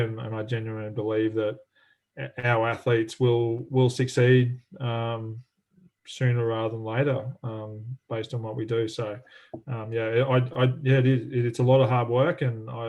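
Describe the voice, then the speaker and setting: A man's voice: medium pitch, darkish tone, rough recording. A man, an athletics coach chatting casually on a video call, rambling with lots of fillers and restarts.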